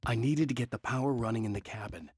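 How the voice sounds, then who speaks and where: A man's voice: medium pitch, quick pace, a little hiss or hum, natural voice. An actor playing a troubled, brooding male writer and husband with a volatile temper in a video game. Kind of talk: brooding first-person narration and acted dialogue. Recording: game soundtrack with loud music behind.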